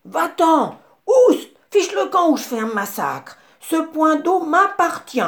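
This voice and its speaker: A woman voicing a furious, greedy male baboon who snarls and shouts threats in a storybook tale. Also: sits high, big pitch swings, slow, pitched above the performer's own voice, full character voice.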